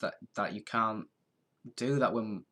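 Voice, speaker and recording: A young man in his twenties talks in a somewhat low voice, stuffy with a head cold and worn out. He chats loosely on a live stream, trailing off and starting his sentences over. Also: measured pace, sharp tone. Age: 20s. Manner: rambling, trailing off and restarting sentences. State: congested with a head cold, tired. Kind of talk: casual live-stream chat.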